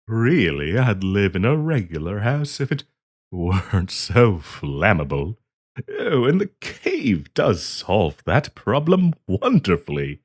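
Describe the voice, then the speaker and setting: A man's voice: on the low side, sing-song, takes it slowly, exaggerated voice. A man playing a polite, hesitant half-dragon diplomat in a fantasy roleplay audio, genteel and eager to please as he hosts the listener. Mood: chatty, proud. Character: polite, flustered half-dragon diplomat hosting tea in his cave.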